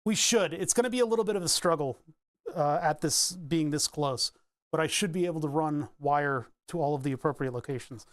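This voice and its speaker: A man's voice: a little high, brisk, no echo, clean studio sound. A man chatting casually on a live stream as he builds electronics, rambling, answering chat and laughing often.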